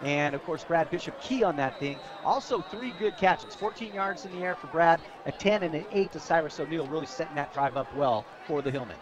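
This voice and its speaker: A man's voice: on the high side, expressive, a little fast, few pauses. An American man giving conversational football color commentary on the radio in a casual, folksy, bantering way. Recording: stadium crowd behind radio broadcast.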